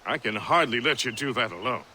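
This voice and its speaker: An actor playing a jovial, roguish, worldly-wise adventurer in a video game, hearty and chatty. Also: male voice, mid-range voice, a little fast, slight background noise. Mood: jovial, advising. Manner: hearty, animated. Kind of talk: video game dramatic acting.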